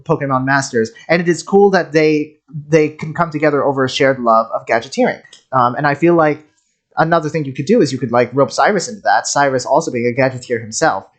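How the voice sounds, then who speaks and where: A game streamer reacts casually in a middle-pitched voice. The delivery is rambling, with frequent stammered repetitions as thoughts get worked out aloud. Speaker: streamer, game content creator.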